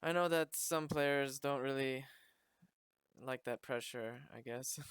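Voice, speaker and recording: Smooth-voiced man hosting a podcast. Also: mid-range voice, takes it slowly, a bit bright, no room sound. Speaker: podcast host. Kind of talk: casual conversational interview.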